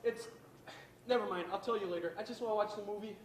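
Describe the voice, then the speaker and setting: A woman's voice: medium pitch, quick pace, natural voice. A woman playing an irritated, sarcastic teenage girl in an amateur stage play. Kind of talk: amateur one-act stage play acting. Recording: echoey distant stage recording with noise.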